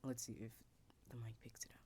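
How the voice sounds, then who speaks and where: A young American woman whispering an ASMR roleplay story in a soft, rambling way, with restarts and asides, close to a desk mic lowered near her keyboard. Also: flat delivery, fairly quick, a little hiss or hum. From United States. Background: keyboard typing sounds.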